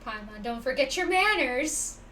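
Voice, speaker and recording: A woman streamer with a high voice reacts casually to a game, talking back to it at a slow pace. She sounds set back from the mic, with faint noise behind. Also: lively intonation, distant mic, a crowd in the background.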